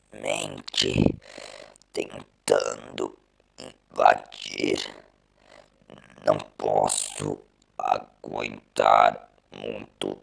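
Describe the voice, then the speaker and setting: A dying man played by a male narrator slowly turning into a cyborg in an audio story. He speaks in a very deep, breathy voice, strained and halting, forcing out each word at a crawl. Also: full character voice. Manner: halting, strained, laboured delivery. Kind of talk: audio drama story acting.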